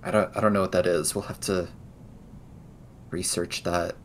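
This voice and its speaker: A man improvising in-character conversation in a tabletop roleplaying game, explaining things in a hesitant, halting way. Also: deep voice, flat delivery, slight background noise.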